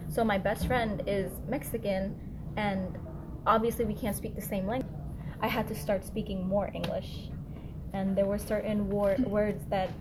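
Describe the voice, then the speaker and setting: A woman's voice: medium pitch, little pitch movement, normal speed, noisy background. A young woman answering interview questions in a casual, chatty way, with lots of "like" fillers. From United States. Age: young adult. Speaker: interviewee, student.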